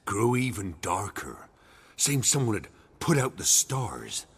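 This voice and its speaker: An actor playing a humble, fearful peasant in a video game, earnest and deferential, telling things in rustic dialect. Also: male voice, lowish voice, big pitch swings, slow, husky, heavily acted. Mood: haunted, awed. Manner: rustic dialect grammar, deferential, dramatic storytelling.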